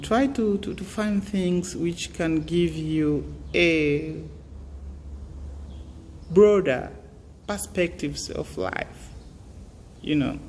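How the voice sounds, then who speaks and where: Young man, earnest and halting; echoing room, faint hiss. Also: on the high side, a little mellow. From Tanzania. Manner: earnest, halting, many fillers and restarts. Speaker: student giving advice. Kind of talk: casual motivational talk.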